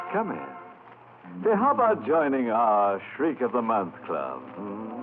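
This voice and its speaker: Over a muffled vintage radio recording with music comes a ghoulish horror host. He is mock-genial and sly, taking his time and swinging his pitch theatrically as he relishes each morbid line. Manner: sly, teasing, hammy pauses.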